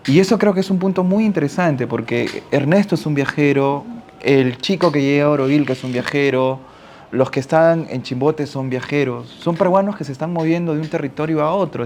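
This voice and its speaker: A Peruvian man with a somewhat high voice talks as a panelist at a literary gathering. He reflects out loud, closing many phrases with a questioning tag, and a faint hiss sits under his voice. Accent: Peruvian Spanish. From Ica, Peru. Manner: thinks aloud, adds frequent questioning tags.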